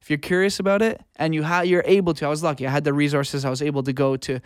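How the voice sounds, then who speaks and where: Man, smooth clear voice, dry close sound, informal and earnest, casual interview guest. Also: fairly high pitch, level tone, racing, no echo. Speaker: guest, music producer and audio engineer. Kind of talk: casual interview, giving career advice.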